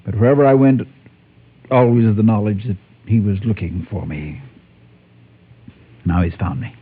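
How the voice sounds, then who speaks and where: A low, husky man's voice carries a former gunfighter who became a preacher and is crushed by guilt. He confesses gravely and haltingly in vintage Western radio style. The recording is thin and tinny, like a telephone. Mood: haunted, confessional. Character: haunted former gunfighter turned preacher, guilt-ridden man. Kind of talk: 1950s Western radio drama acting. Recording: muffled, tinny old-time radio recording.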